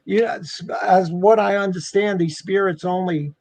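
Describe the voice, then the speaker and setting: High-voiced man telling a story casually. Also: steady tone, moderate pace, creaky, a little mellow. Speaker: guest telling a personal ghost story.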